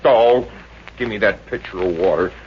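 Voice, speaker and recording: An actor playing an excitable, griping schemer in a melodramatic, exclamatory 1950s radio comedy style. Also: male voice, on the low side, normal speed, close to an everyday voice. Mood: frantic, agitated. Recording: dull, noisy old radio recording.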